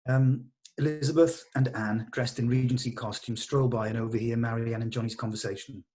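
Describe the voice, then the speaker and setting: Guy running a table read, medium pitch, matter of fact, reads out stage directions with some hesitation. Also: fairly even intonation, brisk, darkish tone. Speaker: table read leader and narrator. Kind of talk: reading stage directions, informal discussion.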